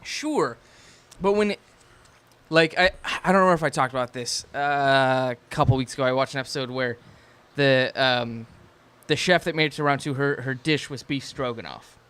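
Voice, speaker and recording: A man's voice: medium pitch, normal speed, a bit bright, clear, resonant tone. A young man co-hosting a podcast, chatting casually, swearing a lot and ranting in bursts. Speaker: podcast co-host. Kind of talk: casual chat.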